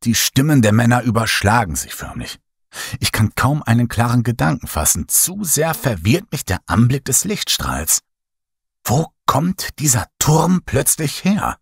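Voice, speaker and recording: A man narrating a first-person horror story as an audiobook, in a slow, hushed, dramatic way. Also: mid-range voice, very animated, breathy edge, dry recording, clean studio sound.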